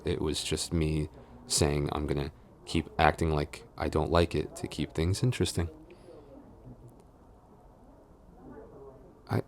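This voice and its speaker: A man's voice: very deep voice, a little hiss or hum. A man playing a flustered tsundere boyfriend and coworker, grumbling but smitten, in an intimate, hesitant, mock-scolding ASMR audio roleplay. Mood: grudgingly affectionate. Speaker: voice actor.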